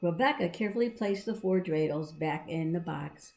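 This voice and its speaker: A woman's voice: on the low side, normal speed, darkish tone. A woman reading and explaining a storybook to children in a simple, encouraging, teacherly manner. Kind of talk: read-aloud with explanatory asides to children.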